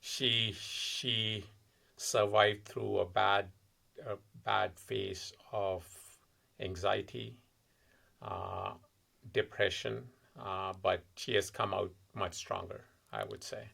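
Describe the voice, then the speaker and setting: A man in his forties from India, with an Indian accent, a podcast guest recounting his family's story in a measured, reflective way. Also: on the low side, expressive, gravelly, bright, forceful tone. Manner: measured, reflective, frequent uh fillers. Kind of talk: personal storytelling in interview.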